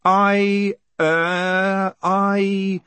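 A man voicing a condemned swindler in an audiobook, haughty but halting and stammering as he stalls for time. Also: fairly high pitch, big pitch swings, slightly rough, no room sound, pitched above the performer's own voice. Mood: stalling, nervous.

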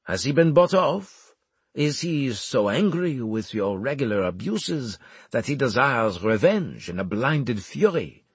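A man's voice: mid-range voice, very animated, measured pace, slightly rough, no room sound, heavily acted. A man voicing a proud, theatrical military commander in an audiobook, with florid, mocking formal speech. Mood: irritated. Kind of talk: audiobook character acting.

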